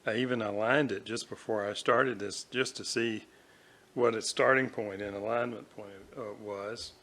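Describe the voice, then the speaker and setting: A man narrating an unscripted workbench vlog as a hobbyist restorer, speaking haltingly in short, hesitant phrases. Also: lowish voice, fairly even intonation, bright, forceful tone. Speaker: hobbyist radio restorer presenting own video. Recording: clearly audible background noise.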